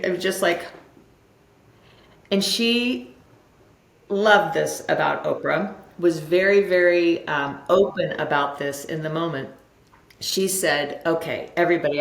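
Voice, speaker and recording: A woman's voice: on the low side, creaky. A woman speaking as an interview guest and coach, explaining in a conversational motivational style and repeating phrases for emphasis. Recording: roomy, echoing sound.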